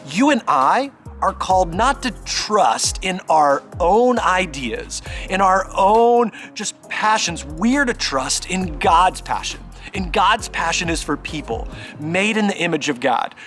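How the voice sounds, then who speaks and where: Animated, higher-voiced man preaching earnestly. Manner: earnest, emphatic, with deliberate pauses for stress; exhorting, addressing the listener directly. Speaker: pastor. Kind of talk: short conversational sermon.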